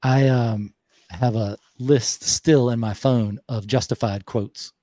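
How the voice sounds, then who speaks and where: A man with a Southern US accent hosting a podcast, talking reflectively and unhurriedly, with pauses. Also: medium pitch, fairly even intonation, dark tone, no room sound. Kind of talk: casual conversation.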